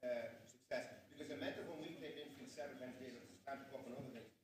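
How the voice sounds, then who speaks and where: An Irish man from Dundalk telling an animated anecdote as a panel guest at a conference. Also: medium pitch, fast. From Dundalk, Ireland. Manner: animated storyteller, quotes others. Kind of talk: storytelling anecdote. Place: conference hall. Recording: distant, roomy hall with crowd.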